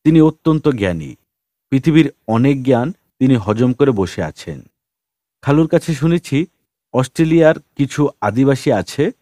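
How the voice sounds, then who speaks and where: Male reader as quietly philosophical wanderer, mellow voice, animated pitch, calm, whimsical, teasing, storybook dialogue. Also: dry recording, studio quality, natural voice.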